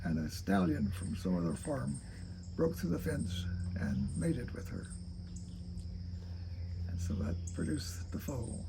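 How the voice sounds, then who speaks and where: A man reads a childhood memoir aloud in a halting, deliberate way, stumbling now and then. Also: mid-range voice, big pitch swings, gravelly, very noisy. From rural Kentucky, United States. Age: 80s. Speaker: storyteller reading own memoir.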